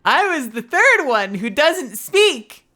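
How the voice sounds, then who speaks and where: A woman voice actor streaming games, chatting casually and telling a story with her low voice, swearing freely. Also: very animated, slow pace. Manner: swearing, storytelling, loud bursts of disbelief. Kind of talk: casual gaming stream chat.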